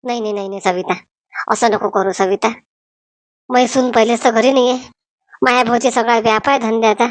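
A woman's voice: on the high side, fairly even intonation, fairly quick, pauses often, no echo, natural voice. A woman voicing gossipy, scheming village women in a Marathi comedy cartoon, with a Varhadi accent from Vidarbha and a colloquial, dramatic way of talking. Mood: aggrieved.

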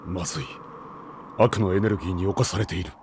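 An actor playing a stoic, noble giant robot warrior from space in an animated game cutscene, speaking gravely and deliberately. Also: male voice, deep voice, slight background noise. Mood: solemn, concerned.

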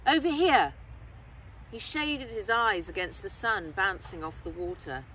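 A middle-aged woman, an author reading her adventure novel aloud in expressive storybook narration. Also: medium pitch. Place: outdoors in woodland. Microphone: camera a short distance away. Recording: outdoors, distant mic, clear background noise.

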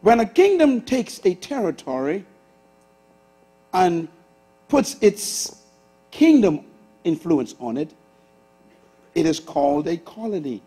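Animated Bahamian preacher man in his sixties. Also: sits high, slow pace, long pauses, slightly rough. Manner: lively preacher, shifts from loud bursts to hushed asides. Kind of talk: sermon. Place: large church auditorium stage with audience.

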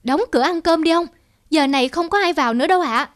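A woman's voice: sits high, quick pace, voice pushed up, close to an everyday voice. A woman voicing an earnest, respectful young grandson in a cartoon moral tale, explaining politely and eagerly. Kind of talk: animated moral tale dubbing. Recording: music behind the voice.

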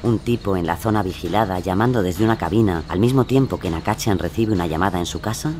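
A man's voice: low-pitched, quick pace, darkish tone, soft background music. A man reading scripted, dramatic true-crime narration as the narrator.

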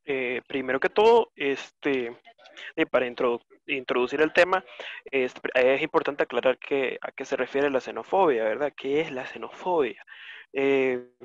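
A man's voice: mid-range voice, normal speed. A young man from Nicaragua, explaining things didactically as a webinar panelist over dull, lo-fi call audio. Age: young adult.

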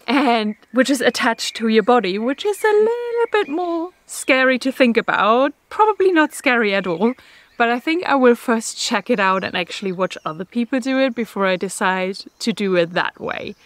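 A woman's voice: fairly high pitch, dry recording. Upbeat woman vlogging outdoors. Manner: upbeat and chatty, with small self-corrections. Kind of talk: casual talk to camera. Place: outdoors in a garden.

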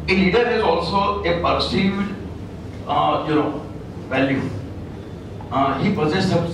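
A man with an Indian accent putting a polite, halting question to a panel, often saying "sir". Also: fairly high pitch. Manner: polite, deferential, repeats "sir". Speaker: audience member asking a question. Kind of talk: formal, halting question from the floor. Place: large lecture hall. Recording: echoey hall, far mic, noisy.

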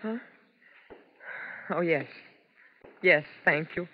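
An actress playing a frightened, clinging showgirl wife in a 1950s radio drama, halting and pleading. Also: low-pitched, slight background noise. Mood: shaken. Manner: pleading, halting, repeating herself. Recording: dull 1950s radio broadcast with music.

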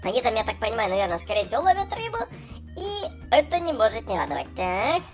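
Man putting on an excitable, high-pitched cheerful clown. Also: fast talker, heavily acted. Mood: cheerful, chatty. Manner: gushing, exclamatory, chatty. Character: cheerful young male clown entertaining small children. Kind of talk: excitable children's gameplay narration. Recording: voice over background music. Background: music.

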